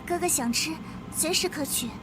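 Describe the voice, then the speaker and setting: A woman playing a devoted young noblewoman in an animated court romance. Her voice is extremely high, earnest and emotional, with clear noise behind it. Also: moderate pace, lightly acted. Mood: pleading, tender. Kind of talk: dramatic period animation dubbing.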